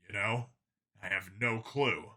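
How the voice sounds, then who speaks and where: A man's voice: on the low side, takes it slowly, pushed and bright, voice pushed down, exaggerated voice. A man voicing a guarded, earnest male cop in an audiobook, speaking in a subdued, hesitant manner. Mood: casual. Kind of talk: audiobook character dialogue.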